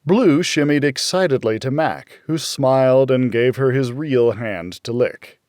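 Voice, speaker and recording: A man narrating a thriller audiobook in the first person, with a brooding hardboiled delivery and dramatic pauses. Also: mid-range voice, a little mellow, no echo, studio quality.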